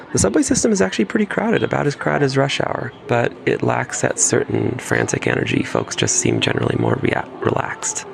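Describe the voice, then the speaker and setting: A man's voice: fairly low pitch, creaky. A man giving descriptive scene-setting narration as a field correspondent, recorded in a noisy, crowded subway station.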